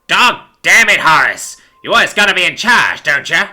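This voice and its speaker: A man's voice: sits high, huge pitch swings, fairly quick, pushed and bright, faint music behind, pitched above the performer's own voice, full character voice. A man voicing a callous, corrupt pig policeman in a story, with crude, folksy, scolding speech. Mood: exasperated. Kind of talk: dramatic storybook character voice.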